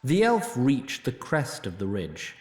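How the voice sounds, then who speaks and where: A man's voice: mid-range voice, wildly swooping pitch, slow pace. Wry male narrator with roomy echo. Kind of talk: scripted storybook-like narration of a fantasy audio drama.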